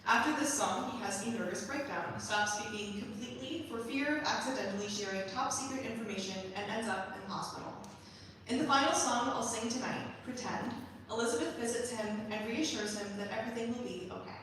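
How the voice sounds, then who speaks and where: A high-pitched woman speaks as a composer introducing a staged reading of a musical. Her voice rings through a very echoey hall, picked up from some distance. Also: fairly quick, a bit bright, a little hiss or hum. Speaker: composer and performer presenting their capstone musical.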